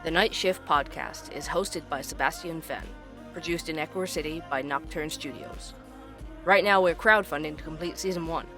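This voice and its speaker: A man playing an earnest, dogged investigative podcast host in an audio drama, with a crisp, measured delivery. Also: fairly high pitch, music in the background, natural voice. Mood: businesslike. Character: male investigative podcast host, dogged, weary young employee. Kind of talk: scripted podcast narration and audio drama dialogue.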